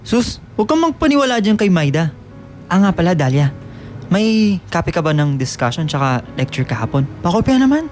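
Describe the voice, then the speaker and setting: A man's voice: sits high, very animated, fairly quick, a little mellow. A man playing an easygoing, somewhat evasive teenage schoolboy in a radio drama, talking casually with hesitations. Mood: casual, bashful. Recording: music bed behind the voice.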